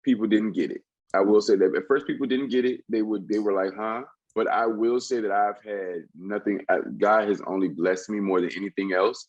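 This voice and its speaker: A man, a rapper with a very deep voice, talking animatedly in a casual interview, often laughing and restarting his sentences. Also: no echo, lo-fi sound.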